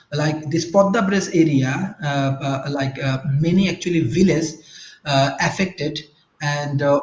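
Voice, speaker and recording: A man talks as a guest on a talk show, stopping often on fillers and restarting his sentences. His voice sits slightly high. It comes through a roomy, dull-sounding remote connection. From Bangladesh. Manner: halting, many fillers and restarts.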